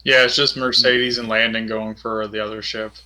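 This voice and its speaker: A man's voice: lowish voice, flat delivery, normal speed, bright, forceful tone. A man playing a tabletop role-playing game, talking casually about what his character will do.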